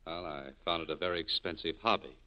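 An actor playing a cynical, guarded saloon keeper, terse and wry, in 1940s radio acting style. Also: male voice, a bass voice, fairly even intonation, fast talker. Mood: guarded, firm. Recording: dull 1940s radio broadcast recording.

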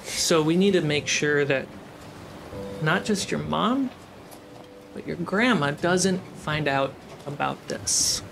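Man playing a shaken, protective seafaring uncle, slow. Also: on the high side, vocal fry, over music. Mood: earnest, guarded. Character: shaken, protective uncle aboard ship, holding feelings down.

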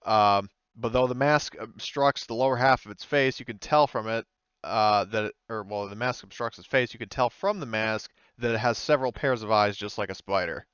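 A man's voice: fairly low pitch, moderate pace, fairly bright tone, clear, resonant tone, dry recording, highs cut off. A man working as game master, narrating and explaining in a hesitant, rambling way during a tabletop roleplaying game.

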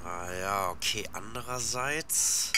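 Slow-talking low-voiced man, casual asides. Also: very noisy. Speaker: rapper playing himself in an audio play. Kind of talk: casual acted chat to the listener.

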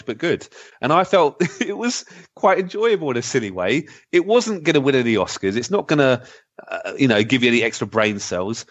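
A middle-aged man hosting a casual podcast, chatty and excitable. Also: medium pitch. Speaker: podcast host. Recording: slightly dull, lo-fi audio.

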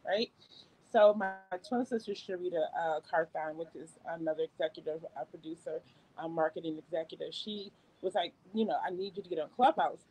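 A woman's voice: on the low side, a little fast, a little mellow, husky, rough recording. Woman guest on a video call, storytelling, easy laughter, loose restarts. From upstate New York. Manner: laughs often, rambling with restarts. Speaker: guest, executive producer. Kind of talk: casual interview storytelling.

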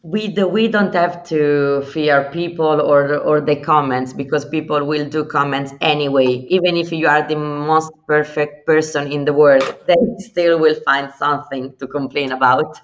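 A woman who stutters chats on a live stream in English, which is not her first language, repeating words as she goes. Her voice sits on the low side. The audio is dull and lo-fi. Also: steady tone, normal speed, few pauses. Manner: repeats words, warm and encouraging, laughs easily.

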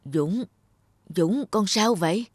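A woman voicing a gentle, self-sacrificing ageing mother, tender and humble, in an animated fairy tale. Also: mid-range voice, slow, dry recording, studio quality.

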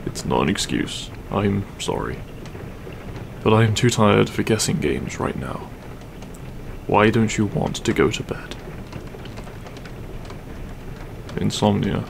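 A man playing a grumpy but secretly caring half-dragon roommate in an audio roleplay, gruff, weary and blunt. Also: fairly low pitch, animated, unhurried, noisy background, exaggerated voice. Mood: tired, apologetic. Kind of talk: audio roleplay acting, spoken to the listener.

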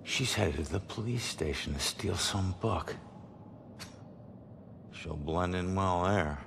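An actor playing the brooding ghost of a murdered detective in a noir video game, low and gravelly. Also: male voice, noisy background, full character voice. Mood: wry, frustrated. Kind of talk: noir game voice acting, inner monologue.

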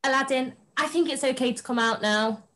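A woman's voice: high voice, a little fast, fairly bright tone. A young woman playing a sweet, melodramatic princess in an amateur pantomime read-through on a video call. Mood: coy. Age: young adult.

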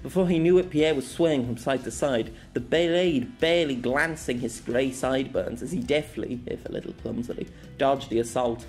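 A man reading a fantasy novella aloud as an amateur audiobook narrator, with steady storytelling and occasional slips. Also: mid-range voice, normal speed, few pauses, faint music behind.

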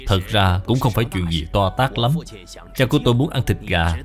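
A man's voice: lowish voice, animated, fairly quick, soft background music, lightly acted. A man voicing a sociable businessman client in a moral cartoon, genially explaining as he asks a favour. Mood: genial, requesting. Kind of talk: dubbed moral cartoon dialogue.

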